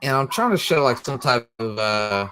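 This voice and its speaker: A man hosting a comic book show, rambling casually in slang, who says he has a loud voice. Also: medium pitch, animated, moderate pace, background noise. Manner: slangy, rambling, lots of "you know what I'm saying". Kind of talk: casual monologue.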